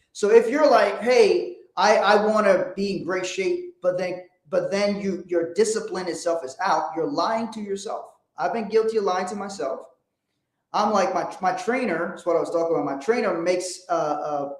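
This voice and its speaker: Blunt man in an echoey room. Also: sits high. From Liberia. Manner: blunt, profane, emphatic. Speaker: host. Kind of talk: motivational livestream talk.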